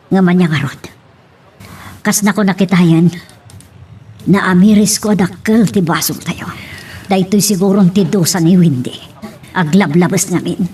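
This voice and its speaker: A woman's voice: on the low side, a little mellow, natural voice. Woman acting a remorseful Ilocano mother, noisy recording. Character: remorseful, grieving mother of grown children. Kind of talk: Ilocano radio-style melodrama acting.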